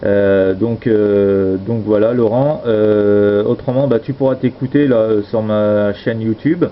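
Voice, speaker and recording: A man with a fairly low voice chats casually as an amateur radio operator. He hesitates and circles back over his words, often trailing off into little tags. The recording is dull, with some noise underneath. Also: slow pace. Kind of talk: casual radio contact chat. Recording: dull lo-fi audio with steady noise.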